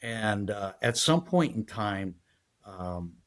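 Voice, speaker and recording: A man in his sixties from San Diego, a guest telling his life story in a halting, rambling way full of fillers. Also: on the low side, lively intonation. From San Diego, USA. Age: 60s. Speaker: guest, retired federal agent. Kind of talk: casual interview storytelling.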